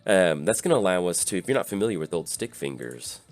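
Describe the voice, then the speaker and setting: Low-voiced, slightly raspy tired man. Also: very animated. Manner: easygoing, thinks aloud with many filler pauses. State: tired from little sleep. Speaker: channel host. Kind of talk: casual gameplay commentary.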